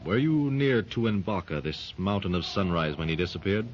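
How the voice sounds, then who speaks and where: A stern jungle hero, deep, clipped questions, hissy 1930s radio. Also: male voice, animated, moderate pace. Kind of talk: 1930s radio drama acting. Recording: dull lo-fi 1930s radio recording with faint hiss.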